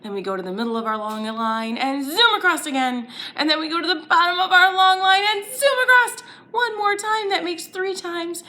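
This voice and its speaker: A woman's voice: extremely high pitch, moderate pace, few pauses, sharp tone. A woman leading a children's story time lesson, playful and exaggerated, encouraging little listeners with questions and sing-along phrases.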